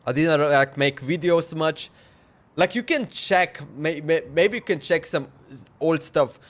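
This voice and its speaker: A man's voice: a little high, a little slow. A man chatting casually on a game livestream in an informal, slangy, repetitive way. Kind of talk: casual stream chat.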